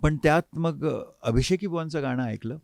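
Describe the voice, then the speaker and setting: A man, reminiscing reflectively as an interview guest and often pausing mid-sentence to find his words. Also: fairly high pitch, vocal fry, a little mellow, no room sound, studio quality. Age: 50s. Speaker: interview guest, harmonium player. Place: recording studio.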